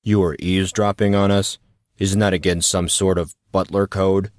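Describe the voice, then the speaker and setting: Guy doing a grumpy sarcastic teen boy, low voice, indignant, audiobook. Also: little pitch movement, normal speed, a little mellow, no echo, studio quality, lightly acted.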